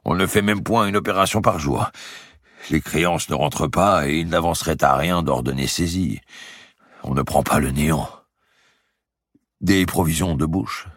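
A man's voice: very deep voice, moderate pace, pauses often, a bit bright, breathy edge, dry recording, studio quality, pitched above the performer's own voice, full character voice. A man doing a weary, starving head clerk. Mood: gloomy. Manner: gloomy, complaining. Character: hungry clerk heading a trading post, weary.

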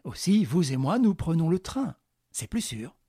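Man reading gentleman thief, brisk commands, ironic, slightly husky, a touch higher, dry studio sound. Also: very animated, no echo, heavily acted. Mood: commanding.

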